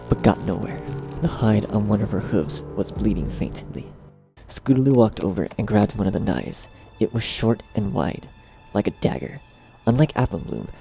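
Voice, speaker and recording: Gravelly male narrator over music. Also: fairly low pitch, dark tone. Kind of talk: scripted storybook narration of dark fan fiction.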